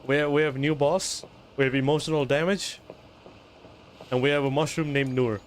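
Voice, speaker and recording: A man's voice: on the high side, steady tone, normal speed, a little hiss or hum. A man from Malaysia with a Malaysian accent, casually commenting on a game stream and muttering as he plays. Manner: laid-back, mutters while playing. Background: game music.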